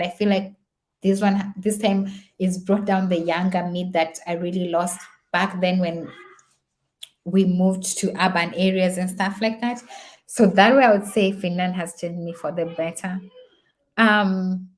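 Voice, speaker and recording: A woman's voice: lowish voice, darkish tone. A young woman whose first language is Swahili, talking in a casual, reflective vlog, chatty and full of fillers and repeats. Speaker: vlogger.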